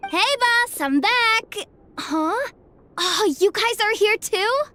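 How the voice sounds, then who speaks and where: Very high girlish pitch, eager young underling, animated, unhurried, hesitant, game dialogue with music behind. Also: exaggerated voice. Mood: cheerful. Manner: hesitant, stammering, apologetic. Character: eager, flustered young errand worker, anxious to please boss. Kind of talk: video game voice acting.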